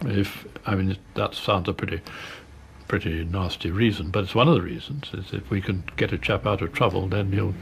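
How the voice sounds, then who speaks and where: A man recalling things candidly in an interview, halting and matter-of-fact, with old-fashioned turns of phrase. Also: low voice, gravelly. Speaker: interviewee, former party whip. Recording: archive interview with background noise.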